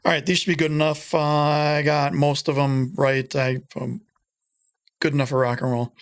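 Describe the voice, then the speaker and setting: A middle-aged man talks through a casual home-studio tutorial from a small room. His voice sits a bit high for a man, and he rambles with plenty of ums and self-deprecating asides. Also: little pitch movement, normal speed, smooth. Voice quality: higher voice than it used to be. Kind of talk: casual screen-recorded audio tutorial.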